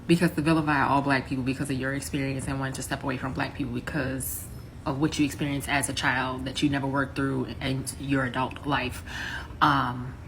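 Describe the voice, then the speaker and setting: A woman speaking in an earnest, measured, lecturing way in a response video. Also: very deep voice, a little hiss or hum, rough recording.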